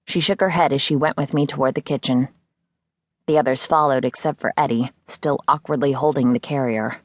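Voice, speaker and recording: A woman with a low-pitched voice narrates a novel in the first person. It is a scripted audiobook read. The recording is close and dry, with no room sound. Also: steady tone, brisk, no room sound. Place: dry studio.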